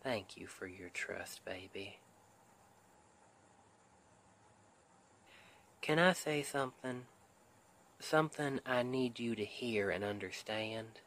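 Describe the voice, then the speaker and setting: A man playing a tender, reassuring Southern husband in an intimate ASMR roleplay, with a Southern US accent and a soothing, gentle, often half-whispered delivery. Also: on the low side, measured pace, slight background noise. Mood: gently concerned. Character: tender, reassuring Southern husband comforting his stressed wife.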